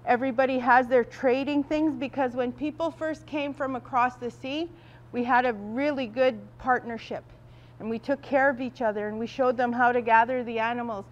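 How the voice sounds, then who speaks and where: A woman leading a group workshop in a large room, projecting loudly without a microphone. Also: on the high side, steady tone, normal speed, muffled recording. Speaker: workshop facilitator. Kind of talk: guiding a group exercise.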